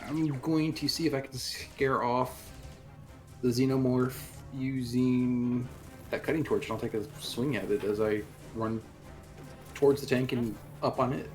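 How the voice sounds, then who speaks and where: A man with a middle-pitched voice talks as a player in a tabletop game. His delivery is casual and conversational. Faint music runs behind him. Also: fairly even intonation, unhurried, darkish tone.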